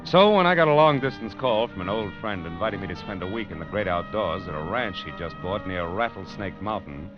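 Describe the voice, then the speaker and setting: A man's voice: deep voice, animated, brisk, hardly any pauses. An American actor playing a world-weary, wisecracking male private detective in a hard-boiled, sardonic old radio drama style. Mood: wry, world-weary. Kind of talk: 1940s-50s American radio detective drama acting and narration. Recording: dull vintage radio recording, loud music behind.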